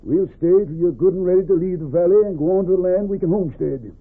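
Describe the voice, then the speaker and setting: An actor playing a defiant, husky-voiced frontier settler speaking up for his fellows in a 1940s radio drama, on a muffled recording. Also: high voice, fast. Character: defiant frontier settler, spokesman for disgruntled workers.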